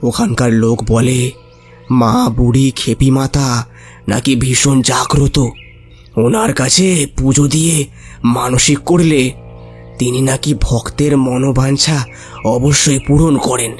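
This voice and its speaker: A man's voice: medium pitch, fairly even intonation, unhurried, long pauses, faint music behind, lightly acted. An actor playing a curious, respectful village man, speaking earnestly and deferentially in an audio horror story. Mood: earnest, reverent.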